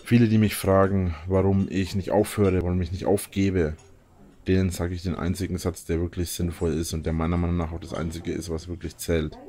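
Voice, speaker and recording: A man's voice: low voice, darkish tone, close to an everyday voice. A man playing an earnest, resolute speaker delivering a solemn motivational monologue in an audio drama parody.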